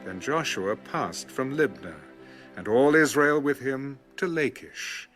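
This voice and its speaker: Animated male scripture narrator over music. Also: medium pitch, slow pace. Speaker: audio Bible narrator.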